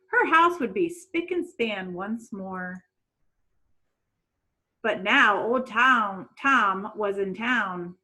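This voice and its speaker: Animated, slow-paced woman reading a storybook. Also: a little high, low-quality recording. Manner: dramatic, deliberate storytelling. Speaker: children's picture-book reader. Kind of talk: expressive storybook read-aloud.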